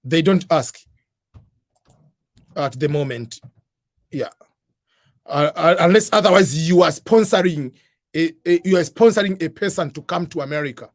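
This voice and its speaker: A man's voice: a little high, normal speed, pauses often, no room sound. A man hosting a livestream, answering viewer questions in an informal, rambling way, often saying "uh" and "whatever.". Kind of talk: casual advice chat.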